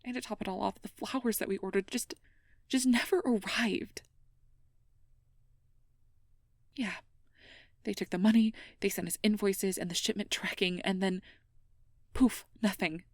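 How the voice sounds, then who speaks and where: A woman playing a stressed, self-blaming young air mage who confides haltingly and half-whispered in an intimate ASMR role-play. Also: medium pitch, steady tone, no room sound, clean studio sound, close to an everyday voice. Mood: frustrated, nervous.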